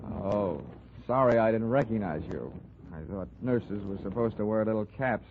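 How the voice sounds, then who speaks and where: An actor playing a wry, world-weary American investigator in a slangy 1940s radio detective drama. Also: male voice, on the low side, moderate pace, a little hiss or hum, close to an everyday voice. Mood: sardonic. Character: wry, hard-boiled adult male investigator narrating his case. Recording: tinny 1940s radio with organ music.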